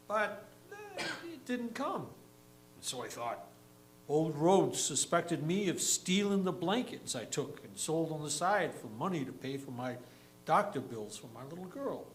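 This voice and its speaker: A man playing a regretful, disgraced small-town clerk and family man in a halting dramatic monologue, recorded with a noticeable hum. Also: a little high, low-quality recording. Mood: rueful. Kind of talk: dramatic monologue, staged poetry reading. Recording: noticeable hum and background noise.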